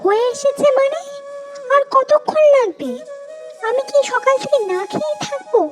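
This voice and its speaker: A woman voicing a young, demanding daughter-in-law in an animated family melodrama, with a shrill, insistent manner. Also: moderate pace, over music. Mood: impatient.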